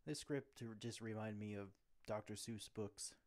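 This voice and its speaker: A man's voice: on the low side, moderate pace, a little mellow. A man who performs roleplays, narrating and commenting for the listener. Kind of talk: scripted roleplay narration and asides.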